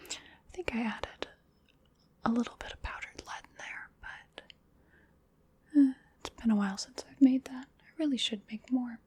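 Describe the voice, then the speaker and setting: A woman playing a playful, fussy vampire in a whispered ASMR roleplay, musing softly and coaxing with a mildly bossy touch. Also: on the high side. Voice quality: soft breathy whisper. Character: playful, fussy female vampire seasoning her human snack.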